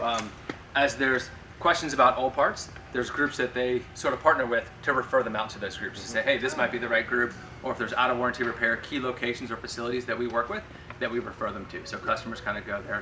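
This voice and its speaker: An American man guiding a tour group through a company warehouse, introducing staff and summing up. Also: mid-range voice. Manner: upbeat, introduces people and sums up. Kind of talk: guided tour talk to a group. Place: large warehouse, indoors. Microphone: far camera held by a tour member. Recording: distant, noisy warehouse recording.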